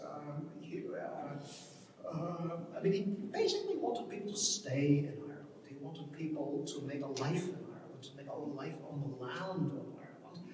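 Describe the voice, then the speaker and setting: A man's voice: on the high side, a little mellow, a little hiss or hum. A man gives an informal literary talk off the cuff, hesitant and often repeating words as he thinks aloud. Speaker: panel speaker on literature. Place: large reverberant indoor event space. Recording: distant, very echoey hall with long reverb.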